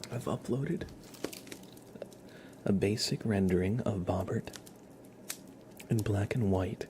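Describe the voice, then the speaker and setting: A man hosting an ASMR livestream, chatting casually and softly, often slipping toward a whisper, with noise behind him. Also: lowish voice, takes it slowly. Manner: soft-spoken, often drops to a whisper. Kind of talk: soft casual ASMR chat. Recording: clear background noise on livestream.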